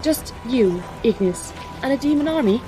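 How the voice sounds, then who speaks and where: A woman's voice: a little high, animated, normal speed, over music, close to an everyday voice, poor audio. Woman playing firm, guarded warrior noblewoman. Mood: defiant. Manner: firm, terse, serious. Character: resolute, guarded young noblewoman warrior protecting her companions. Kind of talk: audio drama acting.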